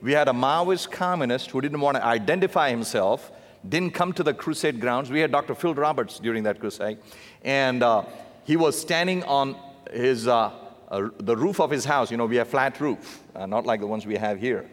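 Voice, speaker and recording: A man in his fifties with an Indian accent, preaching to a church congregation with animated asides. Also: medium pitch, normal speed. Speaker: missionary preacher. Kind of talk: sermon. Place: large church sanctuary. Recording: long reverb of a big hall.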